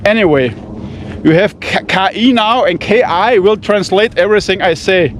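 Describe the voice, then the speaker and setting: A man's voice: high voice, moderate pace, a little hiss or hum. A man with an Austrian German accent, a non-native English speaker, talks bluntly and profanely in broken English while walking through fields and vineyards. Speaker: knife-testing channel host. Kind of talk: casual walking vlog. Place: outdoors among vineyards and fields.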